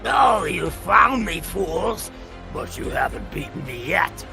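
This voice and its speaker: A man voicing a gloating, theatrical cartoon supervillain with a gravelly voice in a comic book table read. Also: high voice, very animated, slow, music in the background, heavily acted. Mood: gloating, commanding. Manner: theatrical, commanding. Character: gloating cartoon supervillain shouting threats at fools.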